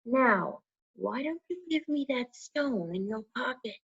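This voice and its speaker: A cold, threatening dark wizard , as a woman reader puts him on, speaking in a strained voice pushed well above her own. The tone is husky and gravelly underneath. The recording is muffled and lo-fi. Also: a little high, animated, measured pace, pitched above the performer's own voice, exaggerated voice. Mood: menacing. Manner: sneering, threatening. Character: cruel dark male wizard, menacing, cold.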